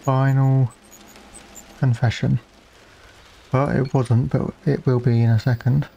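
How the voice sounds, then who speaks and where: A man's voice: mid-range voice, level tone, slow pace, creaky, warm tone, dull audio, slight background noise. A man playing a game and musing aloud in a wry, casual way. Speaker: let's play gamer.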